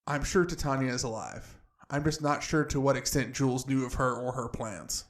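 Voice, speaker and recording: A man's voice: mid-range voice, normal speed, lightly acted. Man playing a guarded, dutiful young agent. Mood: earnest, dutiful. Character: earnest, guarded young agent reporting to his superiors. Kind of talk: fantasy audio drama acting.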